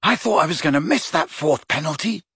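A man voicing a cocky, excitable teenage boy in a storybook, boastful, chatty and pleased with himself. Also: sits high, unhurried, creaky, no echo, studio quality, voice pushed up, exaggerated voice. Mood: boastful, happy.

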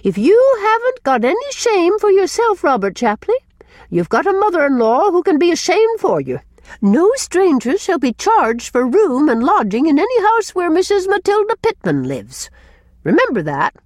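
Lady doing a bossy old mother-in-law from a storybook, high voice, swoops all over, scolding and resolute. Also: huge pitch swings, normal speed, hardly any pauses, no echo, studio quality. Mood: commanding, indignant. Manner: authoritative, scolding, emphatic. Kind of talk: audiobook character voice.

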